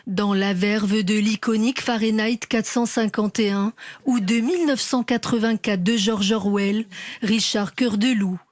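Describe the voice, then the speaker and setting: A woman delivers scripted narration for a TV segment. She reads at a slow, deliberate pace with a mid-range voice. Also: mid-range voice, fairly even intonation, no room sound.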